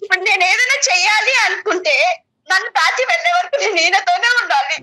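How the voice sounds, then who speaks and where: A woman's voice: very high voice, fast. A young woman, pleading her personal case in an insistent, emotional way. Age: young adult. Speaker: guest seeking counselling.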